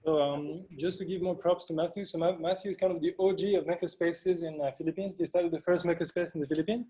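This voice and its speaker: A man's voice: a little high, fairly even intonation, fast, mellow, slightly rough. A man on a video call, enthusiastic as he praises a colleague. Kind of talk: casual video call chat.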